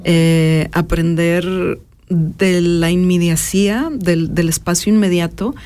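A woman, talking thoughtfully as an interview guest and pausing often with filler sounds. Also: on the low side, fairly even intonation, dark tone. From Mexico. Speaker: interview guest, artist and educator. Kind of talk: personal reflective conversation.

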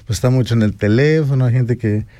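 A man's voice: mid-range voice, quick pace, mellow, no echo, highs cut off. A Mexican man in his forties chatting as an interview guest, often ending phrases with a questioning tag. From Pachuca, Mexico. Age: 40s. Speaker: guest rapper. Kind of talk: casual interview talk.

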